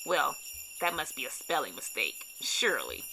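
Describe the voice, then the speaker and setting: A woman reading a children's storybook aloud with expressive, dramatic emphasis. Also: deep voice, normal speed, vocal fry, a little hiss or hum, low-quality recording. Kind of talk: read-aloud scripted narration for kids.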